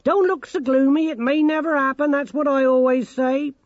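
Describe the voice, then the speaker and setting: A man's voice: very high voice, fairly even intonation, moderate pace, no room sound, dull audio, pitched above the performer's own voice. A man voicing a cheery, blunt elderly female gnome, a granny, in a storybook reading. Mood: cheery, chivvying.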